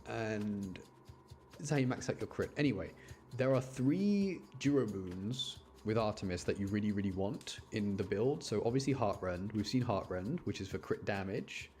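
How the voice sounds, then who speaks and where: A man's voice: fairly low pitch, expressive, moderate pace, darkish tone, slight background noise. A man giving casual live commentary as he streams a video game, rambling and often repeating himself.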